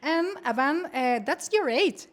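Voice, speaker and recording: High-pitched woman. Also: expressive, unhurried. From Chile. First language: Spanish. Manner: hesitant, short questions with fillers. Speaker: interviewer. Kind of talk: interview questions.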